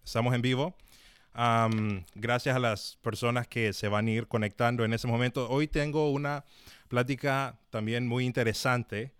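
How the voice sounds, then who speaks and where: A man's voice: medium pitch, steady tone, moderate pace, smooth, no room sound. A man hosting a live-streamed interview, talking with many fillers and restarts. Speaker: host.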